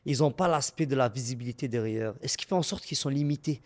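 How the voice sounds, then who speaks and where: A young man from Montreal with a Québécois French accent speaks in a casual interview. His voice sits at an ordinary mid-range pitch. He talks frankly and stresses his points with repeated words. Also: fast talker. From Montreal, Canada. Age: 20s. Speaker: guest, professional boxer.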